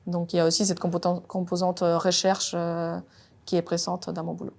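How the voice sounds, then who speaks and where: Woman, hesitant measured French. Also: on the low side, monotone, a little mellow. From Colombia. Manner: measured, frequent "euh" hesitations. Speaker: robotics R&D engineer. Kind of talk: job interview-style monologue.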